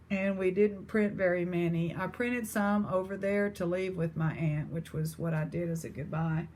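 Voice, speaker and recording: A woman's voice: fairly low pitch, a little mellow, slight background noise. A woman with an East Texas accent chatting casually to her viewers as an online seller. She rambles, with lots of fillers and restarts. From East Texas, USA.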